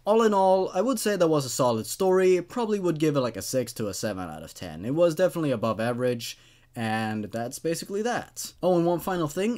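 A man's voice: on the high side, a little mellow. Young man presenting horror stories. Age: 20s. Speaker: host reading and reviewing horror stories. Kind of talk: dramatic story reading and casual commentary.

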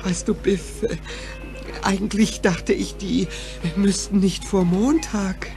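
A man's voice: sits high, steady tone, slow, creaky, a little mellow, soft background music, heavily acted. A young man playing a timid, bullied teenage boy in a German audio drama, hesitant and submissive. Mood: nervous, appeasing.

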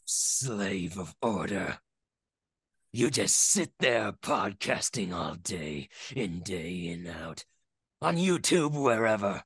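A man's voice: fairly low pitch, vocal fry, sharp tone, husky, dry recording, pitched below the performer's own voice, exaggerated voice. A man playing a crazed anarchic warrior from a video game, taunting in a theatrical, menacing way. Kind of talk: video game villain monologue.

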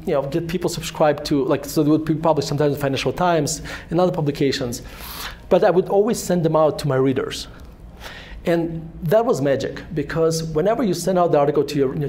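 A man's voice: a little high, dark tone. Russian-accented man telling stories, handheld mic. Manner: rambling, self-deprecating, frequent restarts and fillers. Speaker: author and guest. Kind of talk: fireside chat storytelling. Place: synagogue hall with audience. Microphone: handheld microphone.

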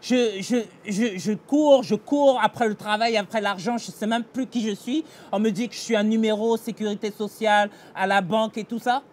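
A man's voice: sits high, fairly even intonation, moderate pace, hardly any pauses, slight background noise. A middle-aged man pastor giving an earnest devotional meditation and prayer, speaking straight to the listener in a small indoor room. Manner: earnest, direct address to the listener, emphatic gestures. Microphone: facing a fixed camera, mid-shot.

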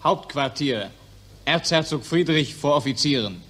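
A man's voice: on the high side, expressive, unhurried. A man playing the terse scene announcer of a satirical 1940s radio drama. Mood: matter-of-fact.

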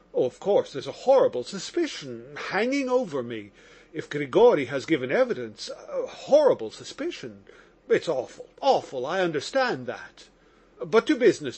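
A man's voice: fairly high pitch, slightly rough. A man voicing an agitated, slightly drunk young man under suspicion, talking nervously and effusively. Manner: nervous, rapid, pleading.

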